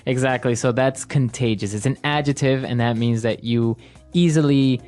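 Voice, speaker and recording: A man's voice: medium pitch, normal speed, darkish tone, faint music behind. A man co-hosting an English-learning podcast, agreeing with his partner and adding examples in casual chat. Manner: agreeable, affirms and adds examples. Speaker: podcast co-host, English teacher.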